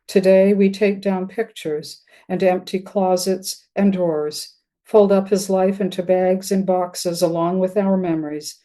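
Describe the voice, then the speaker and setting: An older woman reads a literary piece aloud with measured, plain narration. Her voice is very mellow and a little low, and she takes her time over the phrases at a slow pace. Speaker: writer reading own work. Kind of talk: literary prose-poem reading.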